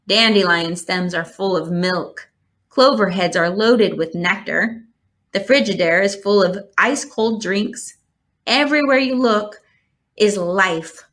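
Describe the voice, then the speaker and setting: A woman's voice: medium pitch, fairly bright tone. Woman reading a children's storybook aloud. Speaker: storybook reader. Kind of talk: read-aloud children's novel narration.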